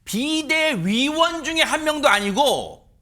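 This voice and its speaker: Male news talk host, lively and quick to react, pitch swinging all over. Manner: animated, reacts often and throws questions to panelists. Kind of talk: moderating a panel discussion. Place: TV studio.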